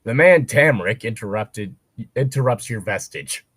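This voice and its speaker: A man's voice: on the low side, expressive, measured pace, a bit bright, breathy edge, no echo. Joking man reading aloud, close dry sound. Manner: joking, breaks off to comment. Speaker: co-host reading fan fiction aloud. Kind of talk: comedic read-aloud with casual commentary.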